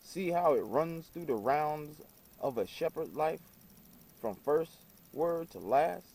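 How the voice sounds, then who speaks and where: A man reading an old religious story aloud as an amateur narrator, in a halting, deliberate way that stumbles over words. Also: fairly high pitch, lively intonation, vocal fry, a little mellow. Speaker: amateur audiobook reader.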